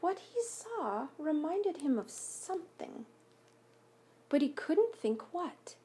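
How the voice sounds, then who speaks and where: A woman reading a picture book aloud to children like a school teacher, pausing to explain things and ask questions. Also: high voice, very animated, dark tone. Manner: instructive, asks listeners questions. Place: home basement by a fire.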